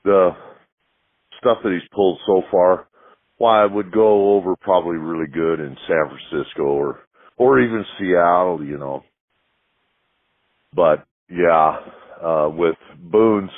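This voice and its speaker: A man leaving a casual voicemail as a listener, giving grumbling, folksy opinions deliberately and with pauses over a phone line. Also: low-pitched, no room sound.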